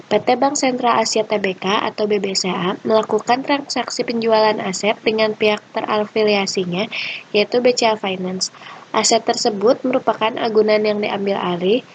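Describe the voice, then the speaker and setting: A woman's voice: mid-range voice, fairly even intonation, normal speed, low-quality recording. A young woman giving an explanatory class presentation as a student. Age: young adult. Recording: clear background ambient noise.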